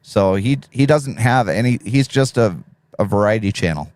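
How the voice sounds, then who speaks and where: A man with a deep, smooth radio voice, low in pitch, hosting a relaxed live stream. He reads viewers' chat aloud, offers advice and laughs easily. The sound is dry and close. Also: normal speed, no room sound. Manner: laid-back, reads chat messages aloud, laughs often.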